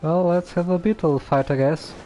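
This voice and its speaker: A man voicing a calm, skeptical young man in a video game, speaking in a dry, hesitant deadpan. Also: high-pitched, moderate pace, slight background noise, close to an everyday voice, low-quality recording. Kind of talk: video game character dialogue.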